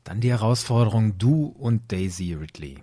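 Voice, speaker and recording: A man's voice: lowish voice, expressive, moderate pace, dark tone, no room sound. A man in his forties hosting a podcast, chatting casually and enthusiastically and laughing often. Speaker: podcast host. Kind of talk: casual interview chat.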